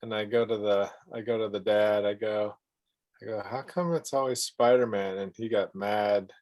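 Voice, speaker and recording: A man chatting calmly in a casual group conversation, telling plain anecdotes. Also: lowish voice, normal speed. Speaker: Bible study group member. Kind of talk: casual online group chat.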